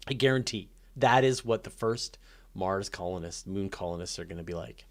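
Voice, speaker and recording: A man with a fairly low voice hosts a casual live Q&A. He speaks off the cuff, working through his thoughts aloud. His delivery is informal and opinionated, with emphasis on his points. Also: moderate pace. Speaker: host of a live space and astronomy Q&A.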